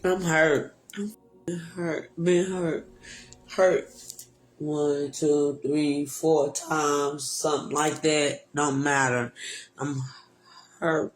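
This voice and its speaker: A grieving woman crying and shouting through an emotional livestream rant, swearing and breaking down as she talks. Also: deep voice, fairly even intonation, drawn-out words, pauses often, faint music behind, rough recording. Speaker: grieving parent.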